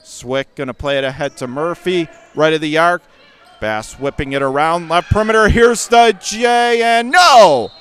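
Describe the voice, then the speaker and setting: A man with a Western New York accent calling a high school basketball game live on radio, rattling off plays and rising to shouts on big moments. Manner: rapid calls that surge to excited shouts on big plays. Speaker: radio play-by-play announcer.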